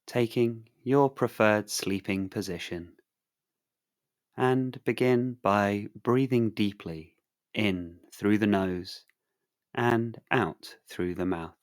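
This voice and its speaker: A man leads a guided sleep meditation in a low voice. His delivery is very slow and measured, leaving long pauses between phrases. The manner is calm and soothing throughout.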